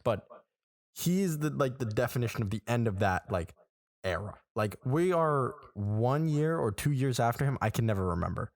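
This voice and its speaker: A man's voice: medium pitch, moderate pace, no echo, clean studio sound. A man in his twenties, co-hosting a podcast in casual chat, telling stories and acting out people's reactions. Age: 20s. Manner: animated storytelling, acts out quoted reactions. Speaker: podcast co-host. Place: small room.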